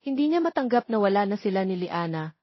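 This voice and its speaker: A woman reads a dramatic story as its narrator, at a medium pitch. The recording is close and dry, with a slightly dull top end. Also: sing-song, quick pace, no room sound.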